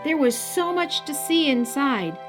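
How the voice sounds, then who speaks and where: A woman hosting a children's story time, narrating a scripted picture book in a deliberate, expressive style. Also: high-pitched, music in the background. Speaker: storyteller, host.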